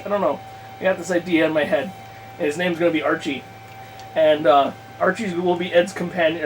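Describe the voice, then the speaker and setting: A man with a notably high-pitched voice talks off the cuff in a casual ramble. He is halting and corrects himself as he thinks aloud, with a crude streak. The recording has clear background noise. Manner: crude, freely swearing. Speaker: podcast guest host, game master. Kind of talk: casual rambling chat about a tabletop game plan. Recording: clearly noisy room recording.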